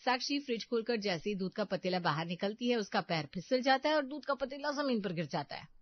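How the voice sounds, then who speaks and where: A woman's voice: on the high side, animated, fairly quick, dry recording, dull audio. A woman narrating a scripted family story.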